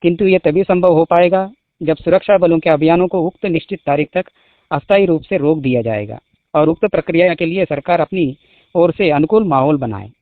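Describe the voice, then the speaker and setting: High-pitched man on a muffled phone line. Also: brisk, no echo. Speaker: spokesman. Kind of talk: formal statement read aloud over a phone call. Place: phone call.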